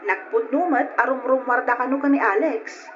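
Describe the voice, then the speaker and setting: An actress playing a confiding, gossipy young best friend in an old-fashioned radio drama. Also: female voice, sits high, moderate pace, a bit bright, a little hiss or hum. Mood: gossipy, insistent. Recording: muffled old radio recording with music.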